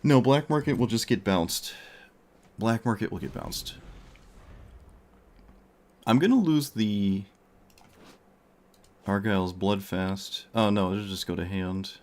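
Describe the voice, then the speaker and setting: A man's voice: lowish voice, slow, pauses often, darkish tone. A male streamer losing his voice, thinking aloud as he plays a card game. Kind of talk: casual gameplay commentary.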